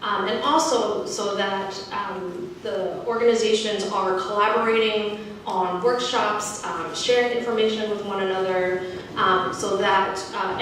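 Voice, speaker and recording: A woman giving an informal public presentation as a panel speaker, often checking in with her listeners with a quick "right?". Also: medium pitch, a bit bright. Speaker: county community liaison, panel speaker. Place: indoor panel event before an audience. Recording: very echoey room, distant mic, faint noise.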